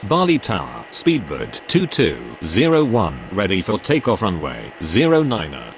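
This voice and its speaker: A man's voice: low-pitched, huge pitch swings, measured pace, slight background noise. A man making procedural aviation radio calls in set phraseology. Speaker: pilot and air traffic controller radio voice.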